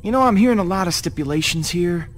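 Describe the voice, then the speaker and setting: Wisecracking roguish male captain, lively and flip. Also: sits high, fast, soft background music. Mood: bargaining. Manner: joking, bluffing, offhand. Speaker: game character.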